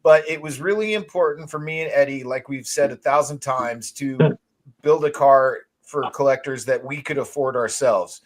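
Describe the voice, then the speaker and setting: Man casually explaining a product, medium pitch. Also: normal speed. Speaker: product maker, show guest.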